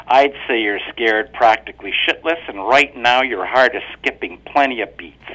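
Guy doing a cool controlling wife, flat even tone, kinda mocking, husky and bright, muffled radio sound. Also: mid-range voice, normal speed.

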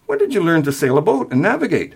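A man's voice: high-pitched, huge pitch swings, fast talker, vocal fry, breathy edge, pitched above the performer's own voice, exaggerated voice. A man reading a story aloud, voicing an exasperated but reasonable grown-up son with an emphatic, sarcastic delivery. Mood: patient, puzzled. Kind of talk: acted dialogue in a story reading.

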